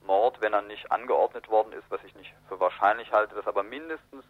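A man's voice: on the low side, a little fast. A man giving analytical, discursive political commentary as a guest in a radio interview. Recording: roomy, muffled radio interview.

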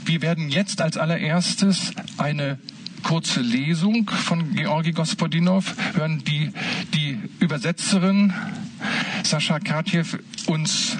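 A man with a fairly high voice formally introduces a reading as moderator of a literary event. He is a little way from the microphone, with faint noise behind him. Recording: distant mic with faint noise.

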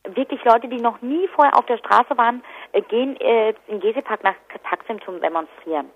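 A woman's voice: a little high, fairly quick. A woman giving spontaneous interview answers as an activist. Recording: dull, lo-fi telephone line.

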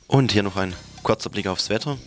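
Male radio host over loud music. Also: on the low side, fairly quick. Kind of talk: station announcements and links. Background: music with guitar.

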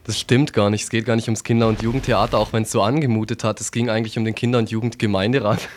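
A man's voice: lowish voice, flat delivery, quick pace, slight background noise. A man presenting the news, reading a scripted political commentary.

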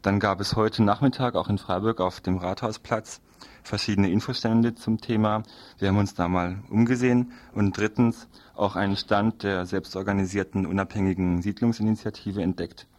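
A man's voice: on the low side, steady tone, normal speed, a little mellow. A man working as a radio presenter, giving a semi-scripted preview of the programme.

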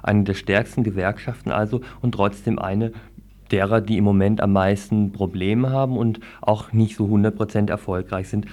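A man with a fairly low voice hosts a radio news magazine. He reports as a presenter, with an informal touch to his delivery. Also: fairly even intonation, normal speed, darkish tone.

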